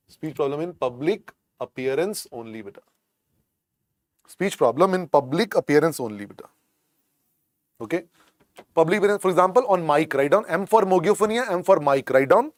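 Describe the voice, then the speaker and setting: A man's voice: a little high, expressive, moderate pace, long pauses, no room sound. Indian English male tutor, repetitive drilling delivery, dry close recording. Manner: repetitive, drills phrases, calls students "beta". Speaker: medical exam lecturer. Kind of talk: classroom lecture. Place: lecture room.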